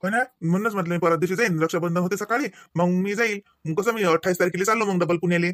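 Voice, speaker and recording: A man acts as a young village uncle in a comedy cartoon, teasing and familiar, with a rural Vidarbha Marathi accent. His voice is sharp and bright, recorded very dry. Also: sits high, fast, no room sound. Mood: easygoing.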